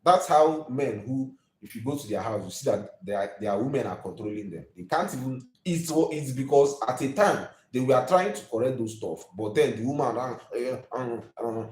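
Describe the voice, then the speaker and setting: A man's voice: medium pitch, darkish tone, echoey room, poor audio. A Nigerian man in his twenties hosting a live video, ranting emphatically and preachily and repeating his points. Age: 20s.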